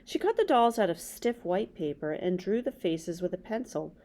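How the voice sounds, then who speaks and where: A woman's voice: lowish voice, very animated, moderate pace, dark tone. A middle-aged woman hosting a children's read-aloud, reading a story and adding casual asides. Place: small indoor room with bookshelves.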